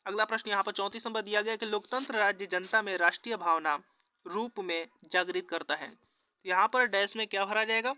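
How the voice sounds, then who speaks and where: A man's voice: high voice, fairly quick, sharp tone, smooth, no room sound. A man working through exam practice questions as a teacher, with an instructional, formulaic question-and-answer delivery. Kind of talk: scripted quiz walkthrough lesson.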